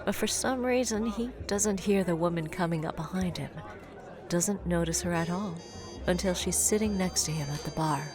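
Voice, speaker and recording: A grave, brooding storyteller played by a woman narrates an audio drama in a lowish female voice. The scripted lines are dramatic, with clear music underneath. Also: moderate pace, a crowd in the background, lightly acted. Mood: somber, measured.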